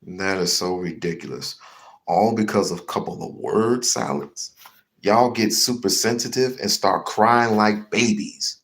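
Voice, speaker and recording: A man's voice: fairly low pitch, unhurried, a bit bright. A man hosting a heated commentary rant, slangy and swearing, repeating himself for emphasis. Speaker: commentary show host.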